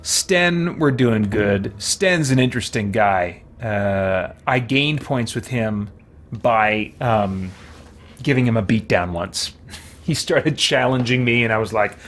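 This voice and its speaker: A man's voice: on the low side, animated, takes it slowly, a bit bright. A man doing casual game livestream commentary, thinking aloud and muttering short remarks to himself as he chats to viewers.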